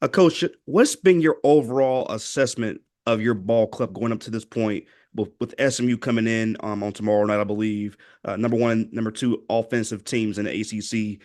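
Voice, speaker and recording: A man's voice: fairly low pitch, brisk, few pauses, darkish tone. A man asking questions as a reporter on a press call.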